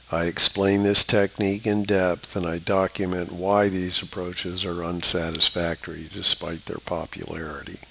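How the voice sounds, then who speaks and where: A man with a cold reads a scripted academic lecture in a measured, formal, explanatory way. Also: sits low, a bit bright, poor audio. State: has a cold. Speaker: lecturer, book author.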